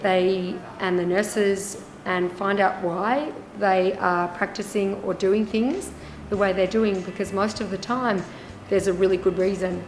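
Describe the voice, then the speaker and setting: Australian woman, hospital volunteer, reflective interview, mid pitch, roomy echo, music behind. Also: steady tone, unhurried, a little hiss or hum. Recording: echoey room, music underneath.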